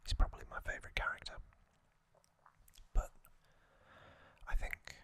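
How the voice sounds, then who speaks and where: A man whispers at an unhurried pace in an ASMR style. His breathy, unvoiced voice is very crisp and sibilantly bright, staying even throughout. He speaks off the cuff, pausing thoughtfully as he goes. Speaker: ASMR host. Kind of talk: ASMR, unscripted whispered commentary.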